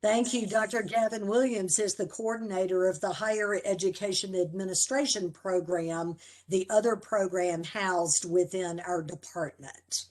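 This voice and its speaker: A woman's voice: medium pitch, fairly even intonation, darkish tone. Woman hosting a faculty introduction. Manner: measured, formal introductions with fillers. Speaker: program coordinator hosting orientation. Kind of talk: introducing faculty on a video call. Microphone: video call.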